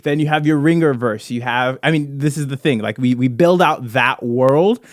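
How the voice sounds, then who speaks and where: A man hosting a podcast, brainstorming casually and excitably with his co-hosts, swearing now and then. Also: medium pitch, normal speed, no echo, clean studio sound. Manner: excitable, profane, frequent "dude" and "right?". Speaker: podcast host.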